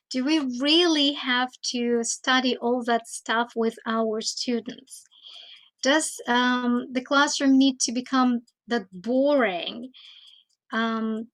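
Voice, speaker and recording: Slow, hesitant woman lecturing. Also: on the high side. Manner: slow, thoughtful, with many hesitations. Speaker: teacher trainer. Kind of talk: conference talk on teaching.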